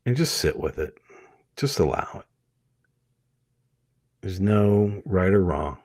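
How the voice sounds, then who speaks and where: A man leading a guided hypnosis meditation, speaking gently and soothingly in short phrases with many pauses. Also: deep voice, lively intonation, breathy edge, dry recording.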